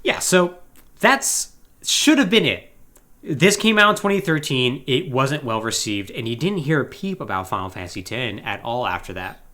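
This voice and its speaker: A man's voice: mid-range voice, sing-song, moderate pace, pushed and bright. A man talking casually as a podcast co-host, explaining game storylines in a rambling, joking, exasperated way.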